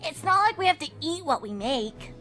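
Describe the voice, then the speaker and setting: A woman's voice: high voice, very animated, normal speed, bright, forceful tone, faint music behind, pitched above the performer's own voice, exaggerated voice. A woman voicing a testy, blunt young tomboy pegasus filly in a cartoon fanfic reading. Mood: exasperated.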